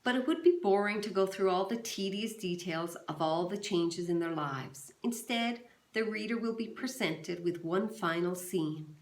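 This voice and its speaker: A woman teacher reading a novel aloud to her class in a calm, steady storytelling manner, recorded in a roomy, echoey space. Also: on the low side. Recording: roomy echo.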